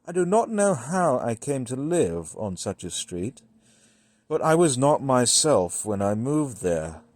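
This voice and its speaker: A man reading a gothic horror story as scripted narration, in a measured, deliberate manner with dramatic pauses. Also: medium pitch, sing-song, darkish tone, dry recording. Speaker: audiobook-style reader.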